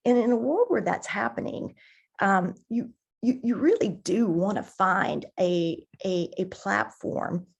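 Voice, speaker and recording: A woman giving conversational, enthusiastic explanations as an interview guest on a marketing podcast, haltingly repeating words as she thinks. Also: mid-range voice, lively intonation, gravelly, slightly rough, highs cut off.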